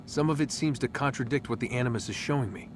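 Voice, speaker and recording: A man's voice: mid-range voice, fast talker, noisy background, natural voice. A man playing a reluctant young captive in a game cutscene, speaking casually with dry, wary skepticism. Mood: doubtful questioning. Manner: wary, casual, dryly questioning. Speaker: game voice actor. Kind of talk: naturalistic game cutscene dialogue.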